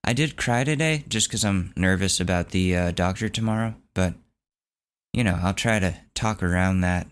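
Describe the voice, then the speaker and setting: A young man hosting his own podcast, talking in a rambling, candid and wry way, his voice still recovering from hoarseness. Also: low voice, normal speed. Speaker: podcast host. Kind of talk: casual solo podcast monologue.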